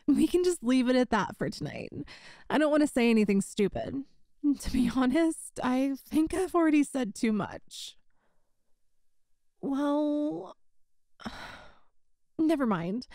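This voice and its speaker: A woman's voice: sits high, lively intonation, a little slow, long pauses, a little mellow, dry recording, studio quality. A woman playing a friend's chatty, teasing older sister in a casual, giggly roleplay audio. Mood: flustered, evasive. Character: best friend's older sister, playful, teasing, secretly smitten. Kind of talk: roleplay audio acting, one side of a conversation.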